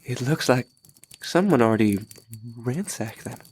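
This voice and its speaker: A man playing a nervous, slow-witted young bandit, hesitant and husky in an audio drama. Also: medium pitch, animated, a little mellow. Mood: uneasy. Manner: hesitant, stammering.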